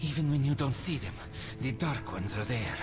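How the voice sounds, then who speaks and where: An actor playing a weary, fatalistic station commander in a video game, solemn and bitter. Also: male voice, medium pitch, animated, normal speed, natural voice. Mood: grim, resigned. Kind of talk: dramatic video game acting. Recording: music behind the voice. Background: music.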